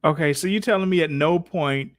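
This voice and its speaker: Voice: mid-range voice, brisk, no echo. A casual host fielding questions in a live chat. The voice sits in a middle register and is recorded very dry and close. The talk is slangy and informal, with phrases often repeated. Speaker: host taking audience questions.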